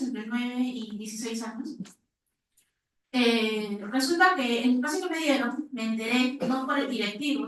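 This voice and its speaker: A woman with a Peruvian accent speaking informally from the audience at a conference, telling a story and asking a question. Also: fairly high pitch. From Peru. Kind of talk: spontaneous anecdote and question to panelists. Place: reverberant indoor conference room. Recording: very echoey room, distant microphone.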